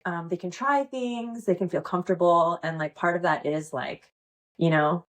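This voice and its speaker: A woman's voice: on the low side, moderate pace. A woman pole dance instructor, a guest on a podcast, talking casually in a rambling way with many fillers and laughs. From United States. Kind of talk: casual interview chat.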